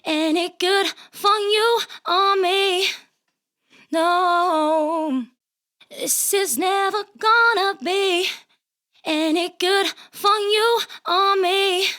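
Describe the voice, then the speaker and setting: A woman sings a pop vocal in a very high register. The phrases are drawn out extremely slowly, with faint music present. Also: sharp tone. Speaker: singer. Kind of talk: sung vocal take, repeated pop phrase.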